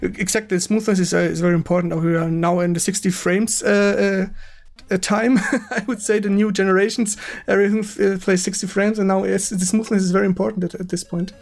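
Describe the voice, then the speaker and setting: A man with a German accent hosting a casual gaming stream interview in English, speaking haltingly and repeating words with effusive enthusiasm. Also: high voice, steady tone, vocal fry, darkish tone. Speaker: host of a retro gaming stream.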